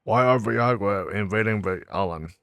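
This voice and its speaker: A man doing a casual game playthrough, reading dialogue aloud in a low-key, drowsy way. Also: moderate pace, fairly bright tone. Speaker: gamer on a playthrough. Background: faint game music.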